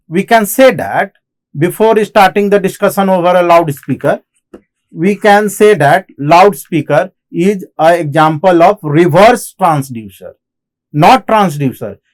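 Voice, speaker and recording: A man with an Indian accent giving a technical lecture as an instructor, explaining in halting phrases and often repeating himself. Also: high-pitched. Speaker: engineering lecturer. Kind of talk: classroom lecture on technical material.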